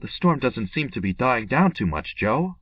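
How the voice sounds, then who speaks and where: A man plays a weary, wry businessman in a vintage radio mystery. His delivery is very animated in pitch. The sound is dull and distant, with loud music underneath. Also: normal speed, lightly acted. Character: weary, wry travelling businessman, reluctant and uneasy. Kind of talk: old-time radio drama acting.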